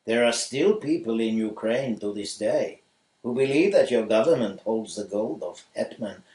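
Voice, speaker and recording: A man's voice: lowish voice, expressive, normal speed, darkish tone, distant mic, close to an everyday voice. A man voicing an earnest, formal president of a newly independent state in a radio play. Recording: roomy room echo.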